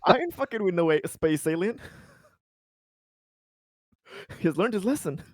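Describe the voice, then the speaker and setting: A man's voice: sits high, lively intonation, unhurried, no room sound, studio quality. A man playing a tabletop roleplaying game, joking and laughing between lines. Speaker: player. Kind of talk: casual tabletop game chat.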